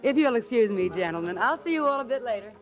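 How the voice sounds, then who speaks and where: A woman's voice: a little high, expressive, fast, close to an everyday voice. A woman playing a sultry femme fatale in a 1940s radio drama, poised and formal. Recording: dull vintage radio recording, music behind.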